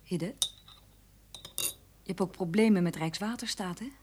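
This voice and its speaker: A woman's voice: on the low side, a little slow, close to an everyday voice. A woman playing a concerned, practical female character in a radio drama, speaking naturally. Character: concerned, practical divorced woman offering help. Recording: very noisy radio drama recording.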